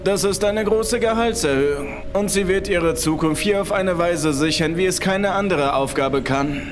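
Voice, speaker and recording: A man's voice: sits high, normal speed, slight background noise, voice pushed up, lightly acted. A man voicing a tense, nervous male boss who speaks in a stern, urgent way in a horror audio story. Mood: grave, tense. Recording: music behind the voice.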